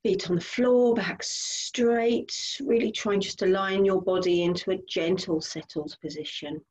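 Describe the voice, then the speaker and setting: A woman leading a guided body scan meditation as a teacher, giving gentle instructions in short phrases with unhurried pauses. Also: mid-range voice, fairly even intonation, rough recording.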